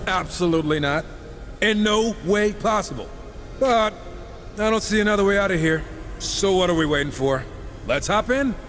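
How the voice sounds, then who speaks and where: A man's voice: high voice, moderate pace, fairly bright tone, faint music behind. An actor playing a cocky, wisecracking supernatural horseman in a video game, sarcastic and casual. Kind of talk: video game cutscene acting.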